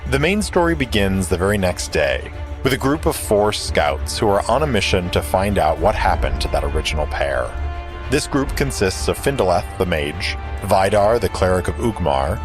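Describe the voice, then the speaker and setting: A man's voice: deep voice, sing-song, moderate pace, over music. A man co-hosting a podcast, narrating a scripted recap of a fantasy story in a dramatic style. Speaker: podcast co-host.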